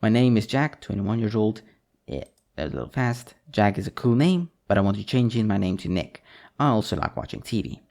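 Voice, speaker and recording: A man with a fairly low voice teaches programming, walking through code and reading each string aloud as he types. The soft clatter of a keyboard sits behind his voice. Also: very animated, brisk, gravelly. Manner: talks while typing code, reads out strings. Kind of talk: screen-recorded coding tutorial.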